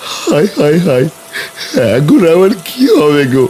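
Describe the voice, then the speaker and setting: A man's voice: on the high side, big pitch swings, measured pace, darkish tone, a little hiss or hum. A man voicing a poor, kindly, anxious village farmer, earnest and lamenting, in a cartoon fairy tale. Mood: despairing.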